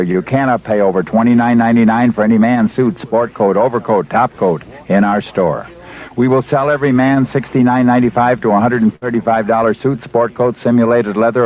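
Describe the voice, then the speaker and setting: Male ad announcer: mid-range, pushy, repetitive retail pitch, seventies radio, slightly dull fidelity. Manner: insistent, repetitive hard sell. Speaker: commercial announcer. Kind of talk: 1970s radio retail ad read. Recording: dull vintage radio broadcast.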